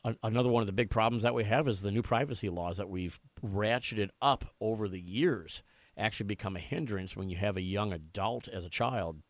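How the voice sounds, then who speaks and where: A man's voice: fairly low pitch, a little fast, no room sound. An American man hosting a live talk radio show, discussing issues and interviewing guests. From United States.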